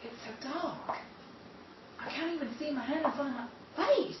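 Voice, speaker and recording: Woman doing a scared young woman lost in the dark, hushed and fearful, high-ish voice, roomy far-off mic, dull hissy sound. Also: moderate pace, close to an everyday voice. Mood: hushed, uneasy. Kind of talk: amateur radio drama acting. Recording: roomy, distant, dull, noisy.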